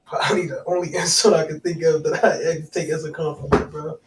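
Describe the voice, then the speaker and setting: A man on a podcast speaks from well back from the mic in an echoey room, with faint music underneath. His voice sits a bit high for a man. He talks haltingly, hunting for words and swearing casually along the way. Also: level tone, far from the mic, a crowd in the background. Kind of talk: casual chat.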